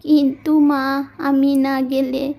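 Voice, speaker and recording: Woman as a gentle, mellow ageing village mother. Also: high voice, level tone, slow pace, gravelly, full character voice. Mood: resigned, tender.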